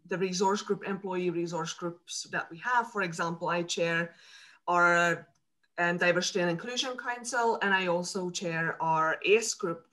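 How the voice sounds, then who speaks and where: A woman in her thirties from Slovakia, a native Hungarian speaker, speaks on a video call from her living room. Her voice is fairly low and crisp and bright. She talks in a friendly way with frequent ums. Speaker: panelist. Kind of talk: panel self-introduction. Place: home living room, indoors.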